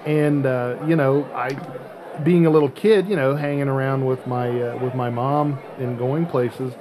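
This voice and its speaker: Halting male podcast host, chatter behind him. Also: medium pitch, fairly even intonation, poor audio. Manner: halting, searching for words. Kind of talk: casual podcast chat.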